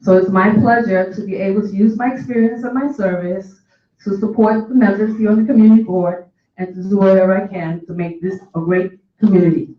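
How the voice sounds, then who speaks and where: A man's voice: sits high, fairly even intonation, a little fast. Formal man speaking in an echoey room. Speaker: board officer candidate, educator and civic leader. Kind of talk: formal prepared campaign speech. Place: indoor public meeting room. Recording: echoey, distant, dull room recording.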